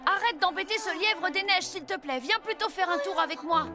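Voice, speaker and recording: A woman's voice: extremely high pitch, a little fast, loud music behind the voice. A woman voicing an earnest, curious young boy, polite and innocent, in a French cartoon. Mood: friendly, polite.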